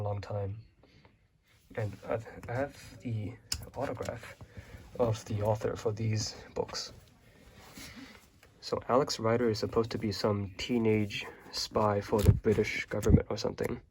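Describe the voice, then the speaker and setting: A young man from Hong Kong, a native Chinese speaker, casually showing his bookshelf in a relaxed, rambling way with many fillers. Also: fairly low pitch, background noise. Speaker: vlogger.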